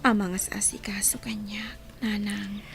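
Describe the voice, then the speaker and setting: A woman playing a gravely ill young wife in a radio drama, speaking in a weak, breathy, sorrowful whisper. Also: medium pitch, little pitch movement, a little slow, faint music behind. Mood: grateful, sorrowful. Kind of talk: radio drama acting in Ilocano.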